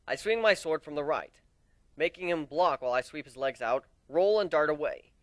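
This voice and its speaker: A man's voice: a little high, expressive, moderate pace, dry recording. A man narrating a first-person fantasy story as an amateur dramatic reading.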